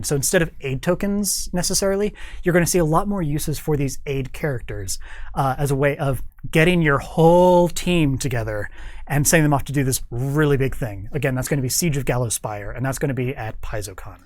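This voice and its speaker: A man's voice: fairly high pitch, fairly quick, darkish tone, no echo. A man speaking as a guest developer in a studio interview, explaining details informally and acting out imagined players' remarks.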